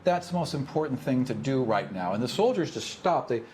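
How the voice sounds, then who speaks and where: A man's voice: medium pitch, lively intonation, brisk. A man improvising vivid, conversational narration as game master of a tabletop game. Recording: clear background noise.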